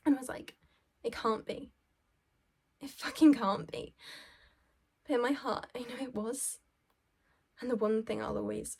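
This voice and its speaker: A woman performing a stage monologue as a self-absorbed, well-off young office worker, shaken, halting and sweary as she recounts events. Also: fairly high pitch, darkish tone, heavily acted. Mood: distressed, ashamed. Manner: halting, sweary, breathy drops into near-whisper. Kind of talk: dramatic stage monologue, conversational first-person recounting.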